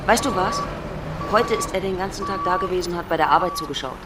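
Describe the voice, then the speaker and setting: Outdoor noise surrounds a voice set back from the microphone. An actress plays an exasperated woman running a building site in a radio drama, brisk, blunt and sarcastic. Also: fairly low pitch, close to an everyday voice. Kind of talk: naturalistic German radio drama dialogue. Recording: noisy outdoor street, distant from mic.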